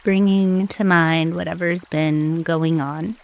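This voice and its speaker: Slow, creaky-voiced woman guiding meditation. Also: on the low side, fairly even intonation. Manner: soothing, unhurried, phrase-by-phrase delivery with long pauses. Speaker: meditation guide. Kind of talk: guided meditation.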